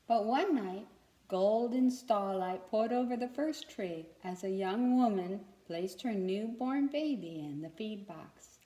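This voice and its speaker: A woman's voice: medium pitch, warm tone. A woman reading a children's story aloud expressively, as a storyteller who checks in with young listeners. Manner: pauses to ask young listeners questions. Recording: echoey room.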